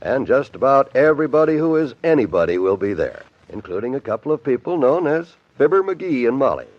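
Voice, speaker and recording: A man's voice: medium pitch, sing-song, a little fast, dry recording. A man announcing a 1950s radio comedy in a formal, emphatic way. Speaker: show announcer. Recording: dull old radio transcription with music.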